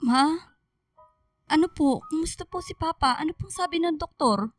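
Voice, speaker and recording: An actress with a Filipino accent playing an anxious, loving young daughter in a radio drama, earnest and pleading. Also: female voice, sits high, normal speed, soft background music, lightly acted. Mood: anxious, upset.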